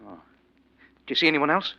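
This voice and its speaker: A man's voice: on the high side, big pitch swings, a little fast, creaky, pushed and bright, dry recording, heavily acted. A man playing a blunt, cynical, streetwise friend in a 1940s radio drama, talking in wry slang. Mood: skeptical, blunt. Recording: muffled, dry old radio recording.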